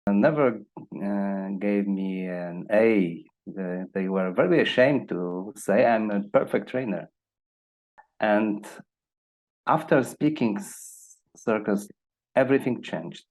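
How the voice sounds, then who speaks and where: Polish-native guy, trainer, lowish voice, halting phrasing, telling his own story. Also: darkish tone. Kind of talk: personal testimonial.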